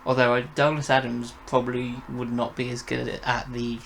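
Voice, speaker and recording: Gravelly male reviewer, casual chat. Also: medium pitch, little pitch movement, brisk, fairly bright tone, a little hiss or hum. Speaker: podcast reviewer.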